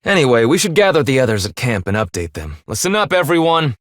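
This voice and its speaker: Guy voicing a blunt bossy young game character, stern n clipped, really animated pitch, dry studio sound. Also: quick pace, no echo, close to an everyday voice. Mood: businesslike. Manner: clipped, formal, coolly blunt, commanding. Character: stern, blunt young male half-human leader of escaped prisoners. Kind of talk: English video game voice acting.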